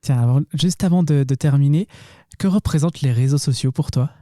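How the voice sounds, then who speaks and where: A man hosting a podcast interview, asking questions in a relaxed, unhurried way. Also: a little high, no echo, clean studio sound. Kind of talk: casual interview.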